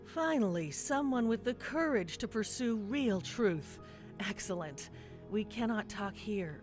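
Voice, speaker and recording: A woman's voice: mid-range voice, lightly acted. A woman playing a commanding woman scientist. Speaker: game character. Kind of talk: game cutscene voice acting. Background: game music.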